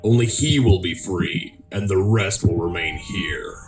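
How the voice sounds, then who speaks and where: Man as an echoing, deep trial keeper. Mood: ominous. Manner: solemn, commanding, measured. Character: stern, mysterious male keeper of a deadly trial. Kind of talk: animated fan dub acting. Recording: echoey, distant, music behind.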